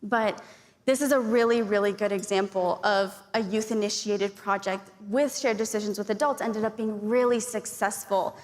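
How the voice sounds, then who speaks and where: Young woman, from Arizona, earnest, chatty, long reverb of a conference hall. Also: fairly high pitch. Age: young adult. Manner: earnest, grateful, chatty with many likes and fillers. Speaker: youth panelist. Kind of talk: conference speech.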